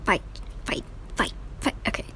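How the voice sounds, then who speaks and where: A woman's voice: moderate pace, bright, forceful tone, a little hiss or hum. A young adult woman giving casual, slangy, exclamatory commentary as she plays a video game. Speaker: game streamer. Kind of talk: casual gameplay reaction commentary. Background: game music and dialogue.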